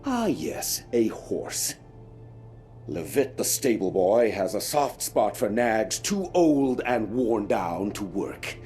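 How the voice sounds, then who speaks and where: An actor playing a pompous, sardonic, grumbling prison warden in a fantasy video game. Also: male voice, lowish voice, sing-song, slow pace, mellow, soft background music. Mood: grudging, wry.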